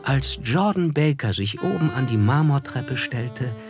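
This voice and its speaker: An actor playing a reserved, observant young man who narrates a literary radio play in a measured way. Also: medium pitch, lively intonation. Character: reserved young male narrator, observant, slightly self-conscious. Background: music.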